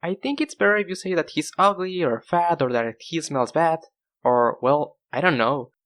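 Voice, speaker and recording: A man's voice: on the high side, normal speed, fairly bright tone. Self-deprecating young man telling stories. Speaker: narrator of an animated storytime video. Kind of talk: casual scripted storytelling with acted dialogue.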